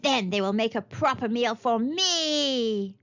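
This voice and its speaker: Woman putting on a croaky, high-pitched wicked old witch. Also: lively intonation, slow, a bit bright, lo-fi sound. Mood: gloating. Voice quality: croaky, cackling. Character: wicked old witch, croaking, cackling, feigning kindness to children.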